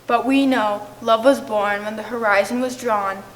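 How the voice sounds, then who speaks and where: A woman's voice: a little high, steady tone, moderate pace, slight background noise, rough recording. A woman giving a scripted poetic reading at a church service. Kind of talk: scripted poetic reading, shared among readers.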